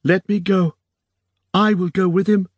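A terrified young woman in an audiobook, put on by a man. Her voice leaps high, urgent and pleading, in a dry sound. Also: little pitch movement, measured pace, slightly rough, no room sound. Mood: wild, terrified. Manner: wild, urgent.

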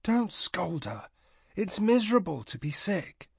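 A man doing a soft-spoken gentle young woman. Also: high voice, big pitch swings, measured pace, gravelly, breathy edge, no echo, studio quality, voice pushed up, full character voice. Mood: pleading.

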